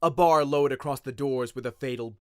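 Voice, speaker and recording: A man's voice: mid-range voice, fairly quick, no room sound, studio quality. A man narrating a story in a dramatic, scripted audiobook style.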